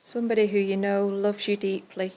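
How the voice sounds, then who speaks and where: A woman guides a meditation in a medium-pitched voice. Her delivery is gentle and very level, with little melodic movement. She lays out short phrases and leaves pauses between them. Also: flat delivery. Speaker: meditation leader. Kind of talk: guided Buddhist loving-kindness meditation.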